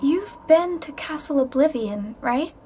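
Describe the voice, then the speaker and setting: A woman's voice: sits high, lively intonation, unhurried, warm tone, a little hiss or hum. An actress playing a reserved, melancholy young girl in a video game, with a subdued, hesitant way of talking.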